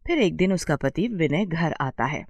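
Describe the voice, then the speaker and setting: Female storyteller for scripted bedtime tales: lively, varied intonation, dry close recording. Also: low voice, normal speed, no echo, highs cut off.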